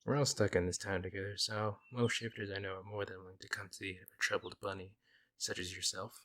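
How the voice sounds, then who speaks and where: Low-voiced, teasing man as a friendly male werewolf. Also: flat delivery, quick pace, bright, forceful tone. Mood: reassuring, protective. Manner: playful, teasing, casual talk to the listener. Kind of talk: ASMR audio roleplay.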